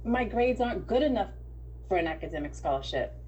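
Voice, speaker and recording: A woman's voice: medium pitch, expressive, a little fast, darkish tone, dull audio, close to an everyday voice. A woman playing a distressed young male college athlete, pleading and defensive, in an audio drama table read. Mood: pleading, upset. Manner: pleading, incredulous.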